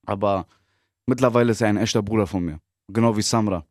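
A man in his twenties from Berlin, a rapper guest giving relaxed, short answers with easy laughs. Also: lowish voice, quick pace, dry recording, studio quality. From Berlin, Germany. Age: 20s. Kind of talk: casual interview chat.

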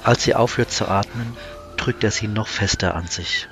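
A male narrator with a deep voice delivers scripted sci-fi drama narration. Clear music plays behind him. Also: moderate pace.

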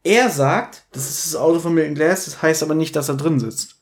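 Podcast guy, voice a bit on the high side, casual chat, teasing banter. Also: a little fast. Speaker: podcast host. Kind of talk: casual podcast chat, retelling and critiquing an audio drama.

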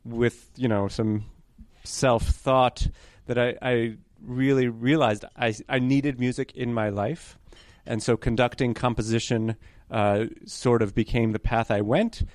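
A man's voice: mid-range voice, darkish tone, no echo, clean studio sound. A young man from New York telling his story in a chatty interview, often correcting himself and adding apologetic asides. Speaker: guest conductor candidate.

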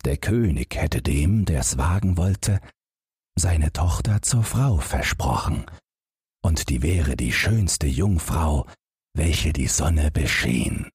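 Low, husky male storyteller. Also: animated, takes it slowly, a little mellow, dry recording, clean studio sound. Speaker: audiobook narrator. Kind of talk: expressive fairy tale narration.

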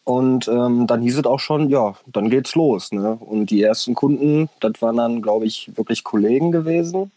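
A man's voice: medium pitch, normal speed, few pauses, mellow, no room sound. A man in his late twenties, a tattoo artist chatting casually on a voice call, rambling with many fillers and laughing now and then. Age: 20s. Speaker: tattoo artist, podcast guest. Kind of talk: casual chat over a voice call.

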